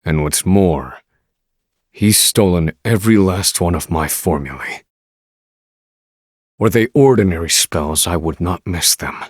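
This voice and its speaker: A man voicing a grave, literal-minded scholar of magic in a video game, speaking in a formal, measured and stern way. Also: low-pitched, dry recording, clean studio sound. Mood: brooding, analytical. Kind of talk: dramatic video game voice acting.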